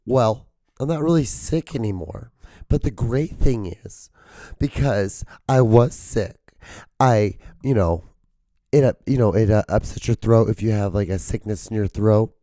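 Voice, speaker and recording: A man hosting his own podcast with a hoarse voice that cracks and gives out. He rambles casually, swears often, and holds back his volume to spare his throat. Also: lowish voice, a little slow, dark tone, no room sound, clean studio sound. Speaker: podcast host.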